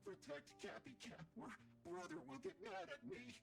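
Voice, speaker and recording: A man's voice: very high voice, lively intonation, moderate pace, gravelly, music in the background, full character voice. A man voicing a delirious, dim-witted, childish soldier in a video game, whining and stammering. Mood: whiny. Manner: babbling, stammering, repeating words.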